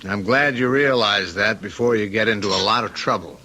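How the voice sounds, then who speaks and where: Vintage radio drama sergeant: forceful, piercingly bright, fairly low, knowing and cautionary. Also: male voice, fairly quick, a little hiss or hum. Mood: wry, warning. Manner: direct, wry, admonishing. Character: plain-spoken police sergeant, knowing and cautionary. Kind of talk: old-time radio drama acting.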